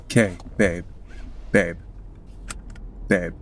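A man's voice: deep voice, fairly even intonation, drawn-out words, a little hiss or hum. A man playing an anxious, earnest boyfriend placating his partner in a comedic audio drama. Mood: pleading.

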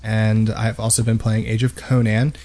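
A man's voice: fairly low pitch, little pitch movement, moderate pace, mellow. A man co-hosting a gaming podcast from a home studio, chatting casually in a rambling, self-deprecating way close to the microphone. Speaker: podcast host. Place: home studio with unpadded walls. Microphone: boom-mounted microphone close in front of mouth.

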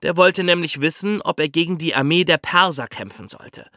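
A man with a higher-pitched, bright voice explains history as a podcast host. His delivery is animated and conversational, told like a story, in a dry, close recording. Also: normal speed, dry recording.

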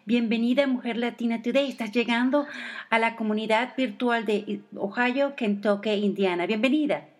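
A woman's voice: fairly high pitch, normal speed. A woman hosting a radio interview, asking rambling questions with many hesitations. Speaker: radio show host.

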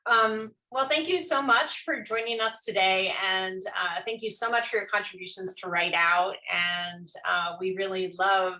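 A woman's voice: mid-range voice, fairly even intonation, measured pace, few pauses, sharp tone. A woman hosting an online conversation, talking loosely with many fillers and restarts. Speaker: host. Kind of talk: casual online panel chat.

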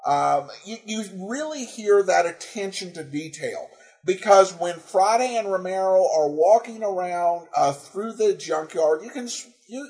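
A man with a high voice hosts a podcast. He gives informal commentary in a halting way, often searching for his words. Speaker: podcast host.

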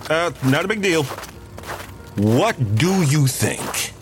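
Animated, casual young boyfriend. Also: male voice, on the high side, slow, over music. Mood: casual, proud. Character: affectionate, teasing muralist boyfriend. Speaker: character actor, the boyfriend. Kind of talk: audio drama dialogue, naturalistic.

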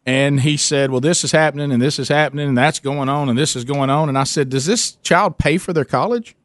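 Man, radio host, slightly high-pitched, dry studio, conversational, rambling self-corrections. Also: brisk, dry recording. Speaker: radio talk show host. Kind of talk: conversational call-in talk radio.

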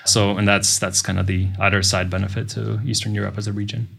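Man, low voice, gravelly fry, measured, podcast guest. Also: level tone, a bit bright. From Latvia. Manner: measured, explanatory, frequent "and, uh" restarts. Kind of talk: casual interview talk.

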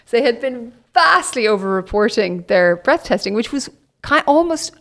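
Gravelly Irish woman telling stories. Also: fairly high pitch, lively intonation, normal speed, a bit bright. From Ireland. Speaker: author guest. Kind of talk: podcast interview conversation.

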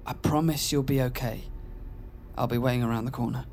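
A man's voice: mid-range voice, fairly even intonation, brisk, a little mellow, a little hiss or hum, close to an everyday voice. Actor as desperate, pleading gambler man. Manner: pleading, anxious. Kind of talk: audio drama dialogue.

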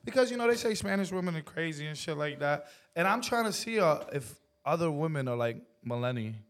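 Animated high-pitched New man, joking host. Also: a little fast. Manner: slangy, playful, teasing. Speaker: podcast host. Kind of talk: casual joking group chat. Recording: clear background noise.

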